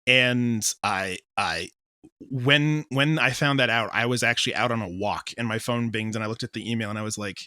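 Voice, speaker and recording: A man's voice: medium pitch, normal speed, pushed and bright, dry recording, studio quality. A man chatting casually as an interview guest, telling stories in a self-deprecating way with quoted asides. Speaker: audio drama creator, interview guest.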